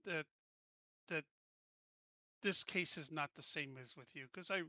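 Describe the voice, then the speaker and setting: A man playing a tabletop roleplaying game, talking casually in a halting, blunt and sweary way. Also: a little high, sharp tone, no room sound, highs cut off. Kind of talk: casual in-game improvised chat.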